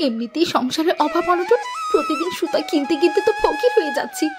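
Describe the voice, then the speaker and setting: A woman's voice: extremely high pitch, wildly swooping pitch, fast, music in the background, heavily acted. A woman playing a harried, quarrelsome village seamstress wife in a cartoon. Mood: exasperated. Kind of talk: animated cartoon voice acting.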